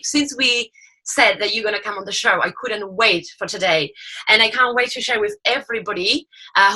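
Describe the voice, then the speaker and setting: A woman's voice: medium pitch, sharp tone, husky, low-quality recording. A woman hosting a podcast interview in an enthusiastic, effusive conversational manner. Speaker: podcast host.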